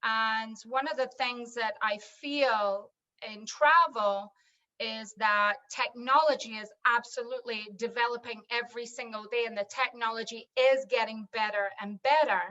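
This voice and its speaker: A woman's voice: fairly high pitch, steady tone, moderate pace, sharp tone. Scottish woman on a dull video call. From Scotland. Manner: fluent, businesslike, listing items one by one. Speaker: wedding software business owner. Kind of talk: pitching in an online panel discussion.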